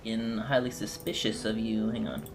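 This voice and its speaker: A man's voice: fairly low pitch, little pitch movement, normal speed, background noise. A man giving casual, laid-back let's play commentary as a gaming streamer. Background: game music.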